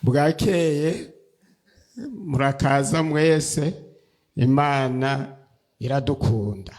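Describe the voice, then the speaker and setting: Slow, deliberate man at church. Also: a little high, a little mellow. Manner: slow, deliberate, repeating each phrase.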